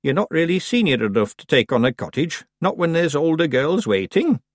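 A man voicing a very old, calm and stern witch woman with a dry, matter-of-fact manner. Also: a little high, normal speed, no room sound, voice pushed up.

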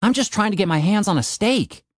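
A man's voice: high-pitched, animated, fairly quick, no echo, clean studio sound, voice pushed up. A man voicing a determined, insistent young man in an audiobook story.

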